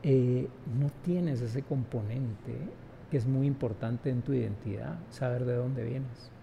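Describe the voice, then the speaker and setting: A man's voice: medium pitch, slow, gravelly, dull audio, noisy background. A man, speaking emphatically as an interview guest and often adding rhetorical tag questions. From Guatemala. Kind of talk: reflective conversational interview.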